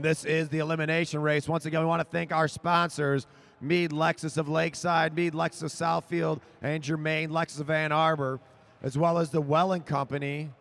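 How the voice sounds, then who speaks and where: A man calling a track cycling race live, rising to shouts as the sprint builds. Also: fairly high pitch, creaky. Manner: excited, shouting names over the finish. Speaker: race announcer. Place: velodrome over a PA.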